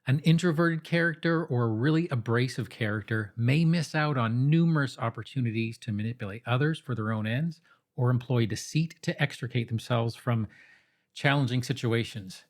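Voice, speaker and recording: Male explainer host, mid-pitched, measured and instructive, reading prepared material with conversational asides. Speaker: host of tabletop roleplaying advice videos.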